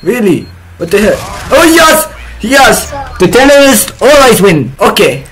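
A young man giving joking commentary over his own gameplay in a put-on, broken-English foreign accent, breaking into loud excited yelps. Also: sits high, a little slow, a little hiss or hum. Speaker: streamer.

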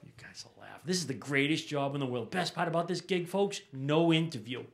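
A middle-aged man doing an energetic, punchy stand-up set on a small TV studio stage, into a handheld mic. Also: on the high side, brisk, vocal fry. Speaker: stand-up comedian. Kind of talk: stand-up routine.

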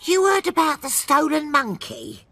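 A woman voicing a nosy, grumpy old cartoon landlady with a sharp, prying way of talking. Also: high voice, animated, normal speed, soft background music. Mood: suspicious. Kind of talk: cartoon voice acting.

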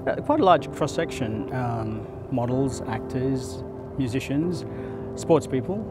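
A thoughtful man in his thirties, originally from Fiji, talks about his work in an earnest, unhurried way. His voice sits at an ordinary middle pitch, close on a lapel mic outdoors. Music plays clearly under him. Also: expressive. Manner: earnest, thoughtful, repeats words as he thinks. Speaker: photographer and interview guest. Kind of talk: reflective interview answers. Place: outdoor terrace above a city.

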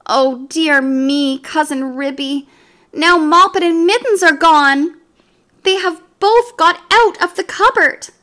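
A woman's voice: high voice, measured pace, fairly bright tone, voice pushed up. A woman voicing a fretful mother cat who talks tearfully and pleads in distress.

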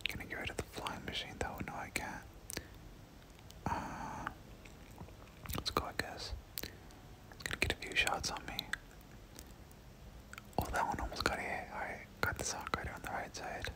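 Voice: moderate pace, long pauses. A person whispering casual, slangy ASMR gameplay commentary, muttering reactions and repeating short phrases. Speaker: gaming video creator.

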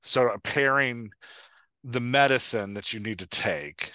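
An American man in his forties chatting casually as a podcast host, telling stories in an animated way and voicing his own thoughts. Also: medium pitch, takes it slowly, no echo. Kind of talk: casual Q&A chat. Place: indoor room at home, on a video call. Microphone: headset microphone.

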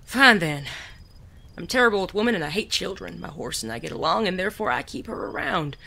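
An actor playing a suave, teasing male gentleman bandit in a Western audio drama, genteel and wry. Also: medium pitch, animated, a little fast, gravelly, slight background noise. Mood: dry, teasing. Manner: genteel, wry, polite wording.

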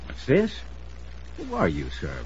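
An actor playing a fussy, flustered stockbroker, prim, formal and indignant, in an old-time radio drama. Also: male voice, on the high side, wildly swooping pitch, a little slow, a little mellow. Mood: anxious, indignant. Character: fussy, flustered, formal male stockbroker guarding client confidences. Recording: muffled, noisy old radio recording.

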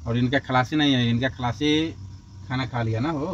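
A man's voice: medium pitch, steady tone, moderate pace, muffled recording, slight background noise. A man from India narrating a casual trucking and cooking vlog step by step, addressing viewers as friends. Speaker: truck driver vlogger.

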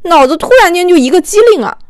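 A woman's voice: very high voice, lively intonation, fast talker, dry recording, studio quality. A woman narrating an audiobook in a storytelling style, acting out the dialogue as she goes.

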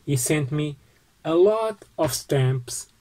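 A man in his forties with a Portuguese accent, showing his postcards on a webcam in a book-lined home study, slow and halting with frequent restarts. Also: fairly high pitch, lively intonation, dark tone. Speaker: postcard collecting vlogger. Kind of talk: casual show-and-tell vlog.

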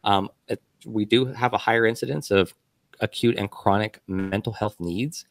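Low-ish guy on a podcast panel, careful and hedgy, dry close sound. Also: steady tone, no room sound. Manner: measured, hedging with fillers. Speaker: healthcare guest.

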